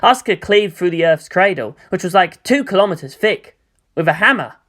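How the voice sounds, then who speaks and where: A woman's voice: fairly low pitch, animated, a little fast, a bit bright. A woman narrating a scripted story as a fan fiction reader.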